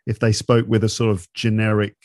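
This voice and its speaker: A British man with a British English accent, an English teacher and podcast host, explaining things in an animated, casual way with examples. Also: lowish voice, a little fast, no room sound, clean studio sound. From Britain. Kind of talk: casual interview chat.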